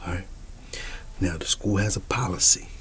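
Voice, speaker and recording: A man doing a soft-spoken ASMR roleplay as an easygoing, quirky schoolteacher, often dropping into a whisper and repeating short, folksy, reassuring phrases. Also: deep voice, normal speed. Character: quirky, easygoing male schoolteacher addressing his class. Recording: clearly audible background noise.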